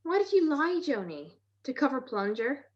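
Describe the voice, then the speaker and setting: A woman playing a sharp-witted, affectionate detective's wife in a 1940s-style radio mystery. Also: high voice, very animated, moderate pace. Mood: brisk. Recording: dull lo-fi video-call audio.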